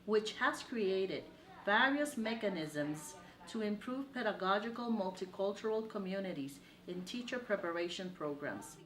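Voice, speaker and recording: A woman professor giving a measured, formal scripted narration. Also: medium pitch, expressive, fairly bright tone, reverberant, rough recording. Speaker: education professor presenting.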